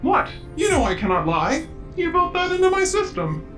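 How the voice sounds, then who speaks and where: A woman's voice: extremely high pitch, very animated, normal speed, a bit bright, exaggerated voice. A woman playing a cheeky, blunt droid sidekick with a sing-song, blurting manner in a sci-fi audio drama. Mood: cheeky defiant. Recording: music behind the voice.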